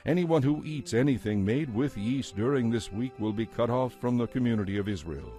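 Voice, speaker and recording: A man with a medium-pitched voice narrates scripture for an audio dramatization. His delivery is solemn, formal and measured, carrying authority, with faint music playing behind him. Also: expressive, mellow. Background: background music.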